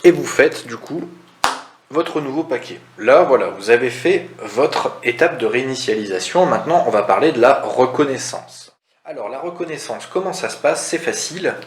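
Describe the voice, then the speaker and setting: A man's voice: mid-range voice, expressive, normal speed, a crowd in the background. Echoey man casually explaining game rules. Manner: conversational, didactic, asks questions then answers them. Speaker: board game rules presenter. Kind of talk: casual step-by-step tutorial. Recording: roomy echo, audible background noise.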